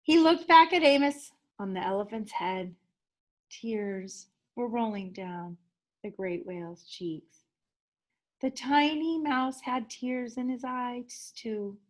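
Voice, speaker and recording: A woman's voice: a little high, slow. A woman teacher reading a children's story aloud expressively, leaning dramatically on key words.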